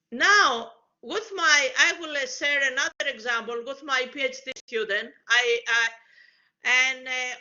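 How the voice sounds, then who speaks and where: A woman from Greece with a Greek accent, a researcher speaking on a panel, halting with many fillers and non-native phrasing. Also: sits high, bright, forceful tone, lo-fi sound. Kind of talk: panel discussion.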